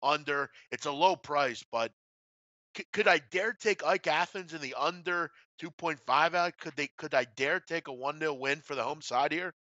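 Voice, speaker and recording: Bright-voiced man talking sports betting. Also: fairly high pitch, normal speed, dry recording, dull audio. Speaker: host of a sports betting show. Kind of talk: casual betting tips discussion.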